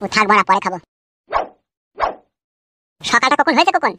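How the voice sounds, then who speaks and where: A man's voice: high-pitched, very animated, moderate pace, background noise. A man voicing a grumpy, foul-mouthed village husband in a comedy cartoon, grumbling and swearing. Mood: irritated.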